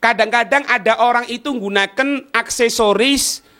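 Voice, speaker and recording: A man's voice: very high voice, normal speed, bright, forceful tone. A man with an East Javanese Indonesian accent teaching an Islamic text, explaining it phrase by phrase with frequent rhetorical questions. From East Java, Indonesia. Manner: didactic, asks rhetorical questions and checks understanding. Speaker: Islamic teacher giving a religious study class. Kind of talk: religious lesson reading and explaining an Arabic text line by line.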